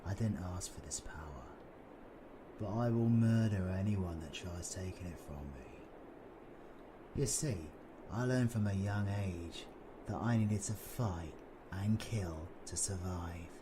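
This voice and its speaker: A British man playing a cynical, sardonic supervillain with dark powers in an audio roleplay, sweary, mocking and brooding. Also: low voice, measured pace, background noise. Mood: brooding, menacing.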